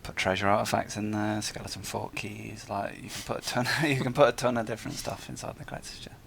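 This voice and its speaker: A man's voice: on the low side, steady tone, fast, vocal fry, a bit bright, a little hiss or hum. A man chatting and bantering casually as a developer guest on a live stream.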